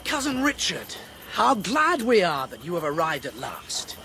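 A pompous, silkily scheming monarch, pitched high and swinging extravagantly up and down. His false graciousness suits a historical radio drama. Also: wildly swooping pitch, measured pace, sharp tone, a little hiss or hum, full character voice. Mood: silky, needling. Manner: courtly, insinuating, pompous. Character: smooth, scheming rival king, falsely gracious.

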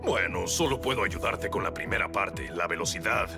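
A man's voice: sits low, animated, moderate pace, loud music behind the voice. A man voicing a big, proud but cautious troll mechanic in a cartoon dub. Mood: explaining.

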